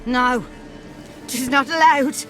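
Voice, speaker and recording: A woman's voice: high-pitched, fairly even intonation, slow, a bit bright. A woman playing a batty, stern old granny who guards orphans in a fantasy game, with an erratic manner. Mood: agitated, scolding. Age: older. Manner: erratic, swinging between scolding and muttering. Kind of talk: video game voice acting. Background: game music.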